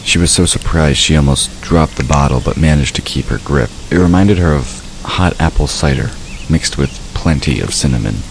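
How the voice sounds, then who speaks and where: A man's voice: very deep voice, normal speed, vocal fry, slight background noise, poor audio. A man narrating a scripted fantasy story for an audio drama.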